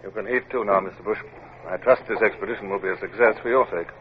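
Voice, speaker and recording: An actor playing a commanding, stern British naval captain in an old radio adventure drama, speaking in clipped, formal orders. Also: male voice, on the low side, fairly even intonation, quick pace, lightly acted. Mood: quiet resolve. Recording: tinny, noisy vintage radio recording.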